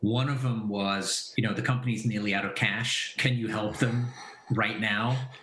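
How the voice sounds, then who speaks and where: A man's voice: lowish voice, fairly even intonation, normal speed, fairly bright tone. A man from Belgium giving conversational interview answers as a company founder and finance advisor. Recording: roomy echo.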